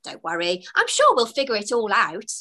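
A friendly young boy elf, cheerful and reassuring, with a lifted, bouncy voice, as a woman reads him aloud in a storybook. The tone is bright and the pitch rides up and down lightly. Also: huge pitch swings, moderate pace, full character voice.

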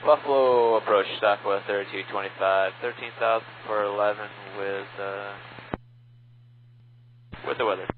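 Lowish male pilot on muffled radio. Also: fairly even intonation, normal speed. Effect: radio transmission. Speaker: airline pilot. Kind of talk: casual radio calls. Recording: muffled, hissy cockpit radio.

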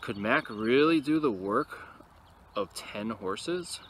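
A man reading a picture book aloud expressively to young listeners, with casual, quieter asides, recorded outdoors. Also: medium pitch, expressive, slow pace. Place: outdoors in an open field. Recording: noisy outdoor recording with ambient sound.

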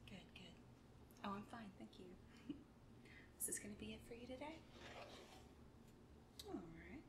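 A woman doing a soft-spoken ASMR role play as a shop clerk, hushed and gentle, often slipping into a whisper. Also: mid-range voice, sing-song, measured pace. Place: small room indoors at a table. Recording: roomy, distant mic, loud background noise.

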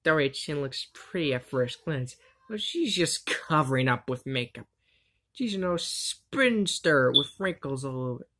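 Woman doing a low, smug male magician teacher. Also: lively intonation, measured pace, fairly bright tone, heavily acted. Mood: mocking. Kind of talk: amateur anime fandub.

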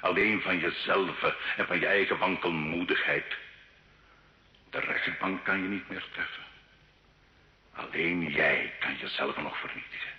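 An actor playing an earnest, fatherly priest, speaking in solemn, measured exhortation in a radio drama. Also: deep voice. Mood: urgent, exhorting. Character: priest, earnest fatherly confessor urging a sinner to repent. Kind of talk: theatrical radio drama acting. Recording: dull old radio recording, roomy echo.

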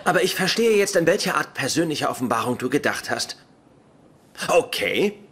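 A man's voice: fairly high pitch, big pitch swings, moderate pace, fairly bright tone, husky, full character voice. A man playing a pedantic, socially awkward, easily hurt young nerd with precise, formal phrasing in German-dubbed sitcom dialogue. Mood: confessing, earnest.

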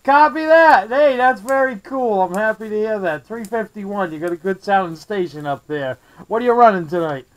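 A man's voice: high-pitched, expressive, moderate pace, few pauses, a little hiss or hum, poor audio. An American man chatting as a CB radio operator in a friendly manner, with call signs and radio jargon. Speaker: CB radio operator, host of the channel.

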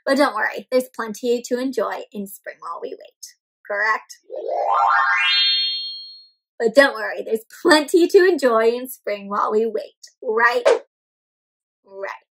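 A young woman hosting an interactive picture-book read-aloud for small children, expressive and animated, asking them questions as she goes. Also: on the high side, a little slow, gravelly, long pauses, faint music behind, poor audio. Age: young adult. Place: indoor room at home with plants and bookshelf.

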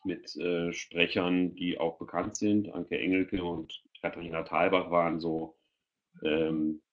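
A man's voice: sits low, level tone, slow pace. A middle-aged man explaining in an informal, somewhat hesitant way during an online presentation over a headset. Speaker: panel guest presenting a children's audio portal. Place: indoor room, video call. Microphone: headset on a video call. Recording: dull lo-fi video-call audio.

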